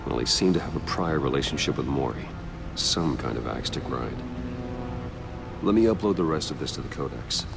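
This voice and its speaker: Brooding vigilante detective, extremely deep gravelly voice, terse and measured, video game drama, music behind. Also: male voice, lively intonation, exaggerated voice. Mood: musing. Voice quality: gravelly, low.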